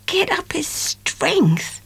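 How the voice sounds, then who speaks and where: A woman playing an earnest, sensitive teenage country girl in an audiobook drama, breathy and emotional. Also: very high-pitched, sing-song, very slow, a bit bright, exaggerated voice, low-quality recording. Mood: upset, indignant.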